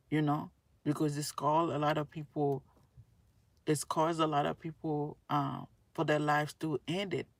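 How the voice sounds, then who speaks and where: Low-voiced, creaky woman talking casually. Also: no room sound. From Haiti. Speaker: tarot reader and spiritual video creator. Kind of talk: casual spoken monologue to viewers.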